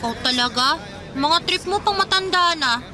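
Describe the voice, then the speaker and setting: A woman's voice: extremely high pitch, lively intonation, moderate pace, crowd noise. A woman voicing a restless, teasing teenage girl cousin in a radio drama. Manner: teasing, playful. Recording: music bed behind. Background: music.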